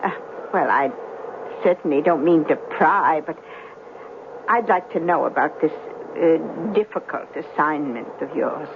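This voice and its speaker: A kindly, trusting old lady in a radio play. She is fluttery and earnest, often hesitating. The sound is a thin old broadcast with clear noise behind it. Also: sits low, measured pace, muffled recording. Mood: confiding, earnest. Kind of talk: 1970s radio drama acting.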